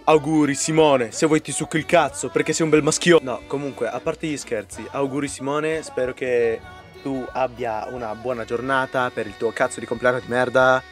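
A man's voice: mid-range voice, steady tone, moderate pace, soft background music. A man recording a crude joking birthday message, rambling and swearing. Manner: foul-mouthed, rambling.

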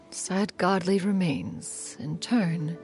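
A woman's voice: on the low side, darkish tone. A woman voicing a proud, aloof immortal crane adeptus in a video game, speaking in a haughty, formal and archaic manner. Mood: composed, grave. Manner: haughty, formal, archaic self-reference as "one". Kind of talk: scripted video game dialogue.

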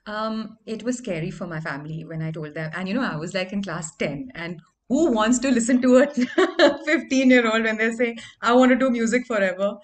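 A woman in her thirties with a deeper voice, telling stories about her music in a lively, conversational way, from a small room at home. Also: moderate pace. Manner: animated, conversational storytelling. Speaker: singer and interview guest. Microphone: microphone on stand in home studio.

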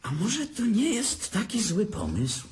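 A man's voice: sits high, wildly swooping pitch, a little slow, a little mellow, exaggerated voice. An actor playing a bitter, drunk older brother who mocks and laughs at his sibling in a radio drama. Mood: taunting. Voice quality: sneering, laughs often.

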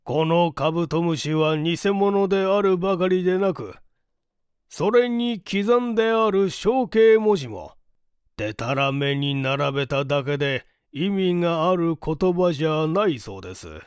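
A man voicing a mild, sheepish gentleman and father in an audiobook, speaking in a deliberate, self-deprecating way. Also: a little high, expressive, smooth, no room sound, studio quality. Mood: explaining. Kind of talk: audiobook character reading.

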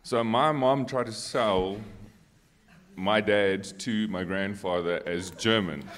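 South african guy, middle aged, afrikaans first language, deep voice, chatting about himself on a panel mic. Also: takes it slowly, fairly bright tone. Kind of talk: casual personal storytelling on a panel. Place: on stage in a church hall. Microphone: handheld microphone.

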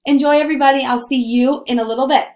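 A woman teacher reading a children's storybook aloud with expressive, dramatized storytelling. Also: high-pitched, fast talker.

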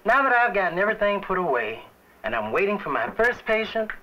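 An exasperated American doctor dad in an old educational film. His high voice is sharp and lively, full of dry sarcasm. The soundtrack is dull and slightly noisy. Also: fairly quick, heavily acted. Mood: sarcastic, exasperated.

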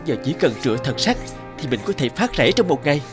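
A man voicing a hardworking, determined young peasant farmer in a cartoon, earnest and expressive. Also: on the high side, fast talker, music in the background. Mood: tender resolve. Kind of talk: cartoon dialogue acting.

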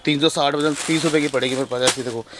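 A man in his thirties, a wholesale shop owner, giving a sales pitch and rattling off prices with rapid, repetitive counting in a small shop. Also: fairly even intonation. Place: small indoor shop crowded with shelves. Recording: noisy shop recording.

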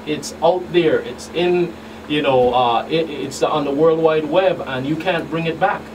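A middle-aged man answering radio interview questions as a guest agent, halting and repeating words as he explains. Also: on the high side. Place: small radio studio. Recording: distant mic, clear room hum.

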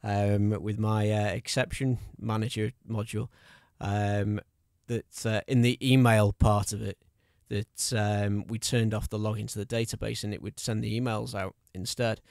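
A man's voice: lowish voice, flat delivery, normal speed, gravelly, a little mellow, no echo, clean studio sound. A man hosting a podcast interview, talking casually with many hesitations and fillers, self-deprecating. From North Wales border area. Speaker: podcast host.

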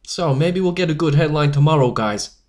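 A man's voice: a little high, lively intonation, fairly quick, darkish tone, close to an everyday voice. A man voicing a cocky, streetwise newsboy leader in a fan audio drama.

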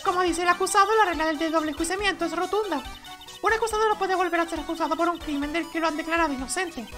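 Man as calm female game mentor, very high, bright voice, composed, explanatory. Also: quick pace, faint music behind.

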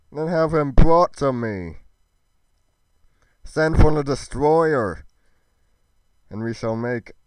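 A man voicing a haughty, suspicious ruler in a game roleplay, speaking in formal, measured, imperious old-fashioned phrases. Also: medium pitch, a little mellow, no room sound. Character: haughty, suspicious male ruler questioning his informant. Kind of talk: amateur game roleplay drama.

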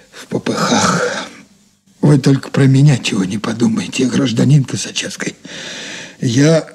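Slow, husky, humble old-time servant. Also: male voice, fairly high pitch, a little mellow, heavily acted. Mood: mournful, musing, then humble, pleading. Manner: rambling, folksy, deferential. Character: humble, folksy older shop servant, rambling and mournful. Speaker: actor in a radio play. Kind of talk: 1980s Soviet radio drama acting.

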